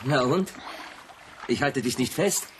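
A man's voice: medium pitch, lively intonation, takes it slowly, background noise. A man playing a flirtatious, teasing lover in a German radio play. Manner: teasing, bantering.

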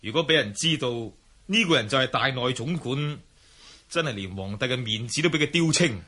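A man's voice: mid-range voice, expressive, a little fast, pushed and bright, slightly rough. A man playing a righteous martial-arts hero in a historical radio drama, declaiming with scorn for corrupt courtiers. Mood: mocking.

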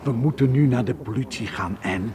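A man's voice: mid-range voice, brisk, darkish tone, a little hiss or hum, close to an everyday voice. An actor in a radio play playing a worried, cautious man who argues earnestly and insistently. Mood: exasperated, worried. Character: worried, cautious male friend urging sense. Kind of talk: radio drama acting. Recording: radio play with crowd murmur behind.

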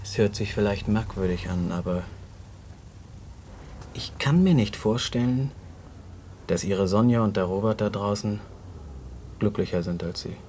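An actor playing a decent, thoughtful prisoner, speaking politely and hesitantly in a naturalistic radio-play style. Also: male voice, on the low side, unhurried, pauses often, a little mellow, slight background noise, close to an everyday voice. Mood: reflective. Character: earnest, decent, thoughtful male prison cellmate, no crook.

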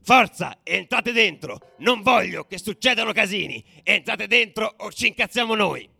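Man as exasperated police commissioner, bellowing, high-strung. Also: animated, moderate pace, creaky, slightly rough, no room sound, soft background music, full character voice. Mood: angry commanding. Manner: barked, forceful commands.

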